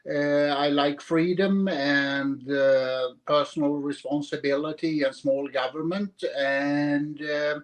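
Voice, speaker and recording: Man in his 50s, podcast guest; slow, halting delivery, repeats words. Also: on the high side. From Sweden. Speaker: guest author. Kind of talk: podcast interview.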